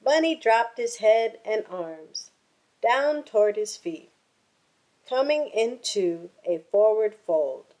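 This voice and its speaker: A woman reading a children's picture book aloud in a deliberate, expressive storytelling manner. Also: mid-range voice, poor audio.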